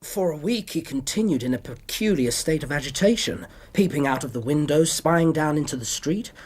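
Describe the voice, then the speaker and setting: An actor in a radio drama playing an earnest, polite Victorian doctor with a British accent, husky and precise. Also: male voice, a little high, animated. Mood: earnest, troubled. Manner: courteous, precise, measured. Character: earnest, polite Victorian male doctor recounting his case. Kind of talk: radio drama narration and dialogue.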